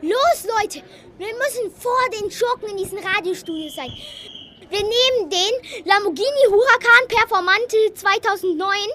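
A man's voice: extremely high pitch, moderate pace, sharp tone. A young boy playing an eager, bossy scientist in an amateur children's radio play. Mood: urgent, commanding. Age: child, primary school. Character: bossy, eager male scientist leading his friends.

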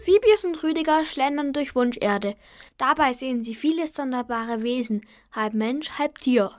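A woman's voice: high-pitched, animated, normal speed, soft background music. A woman narrating a scripted children's radio play as a storyteller.

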